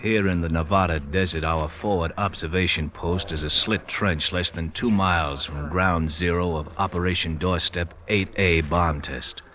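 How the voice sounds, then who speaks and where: An earnest field reporter in a vintage radio play. He delivers scripted live commentary in a low voice. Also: steady tone, moderate pace, hardly any pauses, fairly bright tone. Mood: tense anticipation. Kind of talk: 1950s radio news report acting.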